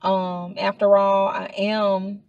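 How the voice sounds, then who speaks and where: A woman's voice: mid-range voice, little pitch movement, slow, vocal fry, a little mellow, no room sound, dull audio. A woman in her thirties chatting casually to camera from inside a parked car, rambling with asides and filler words. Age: 30s. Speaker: vlogger.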